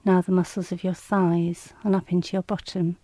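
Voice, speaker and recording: A woman reading scripted guided relaxation instructions in a calm, measured, soothing and slightly clinical manner. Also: fairly low pitch, no echo.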